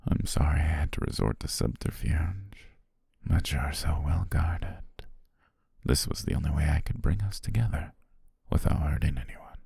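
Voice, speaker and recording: Man voicing a very deep, husky, coaxing serpent shapeshifter. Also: lively intonation, no echo, clean studio sound, full character voice. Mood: tender, possessive, coaxing. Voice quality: very deep, husky, breathy, often whispered. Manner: slow, gentle, formal, coaxing. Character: possessive male naga shapeshifter posing as devoted, soothing servant. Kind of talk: intimate ASMR roleplay.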